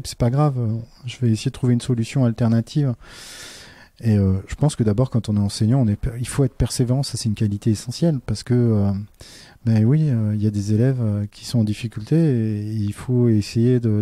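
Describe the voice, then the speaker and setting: A man's voice: medium pitch, warm tone, no room sound. A man, a teacher, talking earnestly in a casual interview, with many fillers and restarts. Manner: earnest and reflective.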